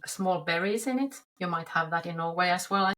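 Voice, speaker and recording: Woman with a low voice. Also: moderate pace, a bit bright. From Finland. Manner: chatty, many restarts and fillers. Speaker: podcast guest. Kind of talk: casual interview talk.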